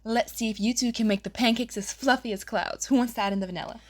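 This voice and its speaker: A woman's voice: on the high side, fairly even intonation, fast talker, close to an everyday voice. A woman playing an affectionate, reassuring mother of young girls in a children's audio drama. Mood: cheerful. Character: gentle, encouraging mother of young twin girls.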